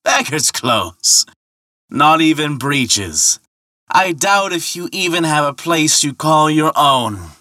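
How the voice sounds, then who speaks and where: A man's voice: mid-range voice, expressive, a little slow, pushed and bright, no echo, clean studio sound, distant mic. A man voicing a sly, taunting ferryman in a mythological tale, with a scathing, mocking drawl. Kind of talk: dramatic mythological storytelling.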